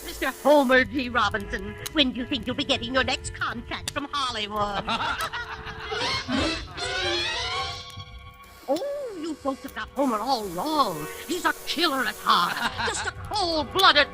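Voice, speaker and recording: A woman's voice: sits high, sing-song, takes it slowly, long pauses, over music, heavily acted, poor audio. An actress playing a mocking, domineering wife who sarcastically taunts her husband in 1940s radio drama. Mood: gleefully mocking. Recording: hissy old radio broadcast.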